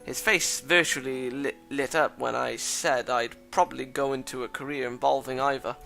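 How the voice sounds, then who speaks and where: A man's voice: mid-range voice, steady tone, moderate pace, gravelly, sharp tone. A man reading a thoughtful teenage schoolboy's lines and inner narration in a plain, reflective way, with faint music behind.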